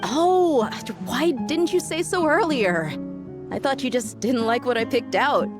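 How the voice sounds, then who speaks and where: A woman's voice: a little high, fairly quick, over music, lightly acted. A woman playing an easygoing, casual mercenary band leader in a video game, with breezy informal speech. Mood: surprised. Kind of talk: video game character dialogue.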